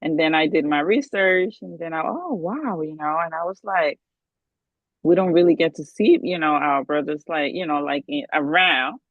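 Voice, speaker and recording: A woman's voice: deep voice, normal speed, dry recording. A woman, hosting an interview in a friendly, easygoing way with many fillers. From the Bronx, New York. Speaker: interview host. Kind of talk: casual interview chat. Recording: dull dry lo-fi sound.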